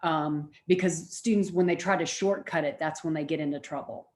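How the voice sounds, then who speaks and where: A woman's voice: sits low, normal speed, a little mellow. A middle-aged woman giving an informal college lecture, explaining things in a conversational way.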